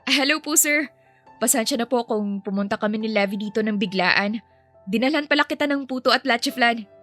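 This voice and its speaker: A woman voicing a bubbly, polite young nanny who is easily flustered, animated and friendly, in an audio story. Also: a little high, fairly quick, faint music behind, lightly acted. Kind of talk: acted audio story dialogue.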